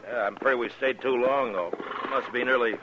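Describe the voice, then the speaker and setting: An actor with an American accent playing a calm, watchful U.S. marshal in a 1950s radio western. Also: male voice, mid-range voice, a little fast, noisy background, close to an everyday voice. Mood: alert, measured. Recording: dull vintage radio recording.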